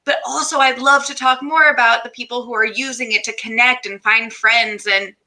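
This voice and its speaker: Very bright-voiced woman hosting a chat. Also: fairly high pitch, fairly even intonation, moderate pace. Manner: effusive, encouraging, uses "like" often. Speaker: show host interviewing guests. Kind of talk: enthusiastic conversational interview.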